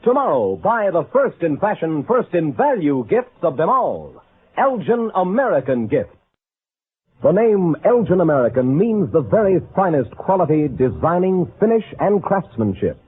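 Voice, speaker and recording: A man's voice: sing-song, normal speed, slightly rough. A man reading a 1940s radio advertisement as a polished, emphatic announcer. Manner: polished, emphatic sales pitch. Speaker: commercial announcer. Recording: tinny old radio recording, faint noise.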